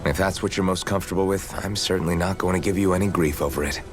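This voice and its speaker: Man acting as low-voiced, steady young swordsman. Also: quick pace, noisy background, natural voice. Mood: supportive. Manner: plain-spoken, reassuring. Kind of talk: video game voice acting. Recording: music in background. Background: background music.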